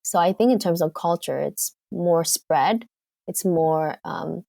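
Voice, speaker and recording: A woman with a Canadian accent, a guest in a casual interview. She speaks in a lowish voice, pausing with fillers as she thinks aloud. The recording is dry and close. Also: gravelly, warm tone, no echo. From United Kingdom. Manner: thoughtful, hesitant, frequent fillers.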